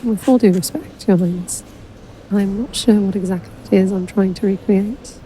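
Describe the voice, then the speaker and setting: A woman acting in an audio drama as an exacting, frank royal calligrapher with a measured, dry manner. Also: mid-range voice, mellow, slight background noise, lightly acted. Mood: skeptical. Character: exacting, wry female royal calligrapher, deferential but frank.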